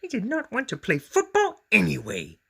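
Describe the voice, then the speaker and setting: A small, fretful boy monster from a children's storybook , acted out by a man. The voice is pushed up high and swings about in pitch. He whines and pleads with great emphasis. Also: huge pitch swings, moderate pace, gravelly, bright, forceful tone, breathy edge, full character voice. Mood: sulky. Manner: whiny, wailing, emphatic. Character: little boy monster, easily upset, whiny and polite.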